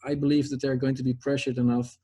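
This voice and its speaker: A man's voice: medium pitch, little pitch movement, quick pace, mellow, husky. A man hosting an interview, asking probing questions in a conversational way. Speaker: host.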